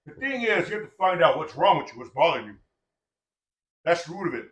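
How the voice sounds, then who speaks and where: A man's voice: a little high, sing-song, quick pace, fairly bright tone, far from the mic. Profane, preachy older man in an echoey room. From Samoan heritage. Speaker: podcast guest. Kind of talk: casual chat.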